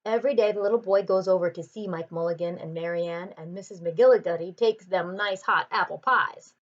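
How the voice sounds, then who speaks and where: A woman reading a children's picture book aloud, with expressive and deliberate phrasing. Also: on the low side, highs cut off. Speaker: storybook reader.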